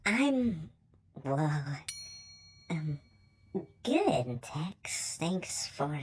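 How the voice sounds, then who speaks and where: A woman voicing a flustered, smitten young female hellhound in a fan comic dub, halting and awkward. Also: deep voice, sing-song, a little mellow, slight background noise, heavily acted. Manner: halting, breathy sighs, awkward.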